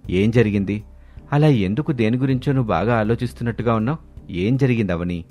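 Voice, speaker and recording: A man voicing an earnest, gentle young husband in storybook dialogue. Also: on the low side, a little fast, natural voice. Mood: concerned. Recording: music behind the voice.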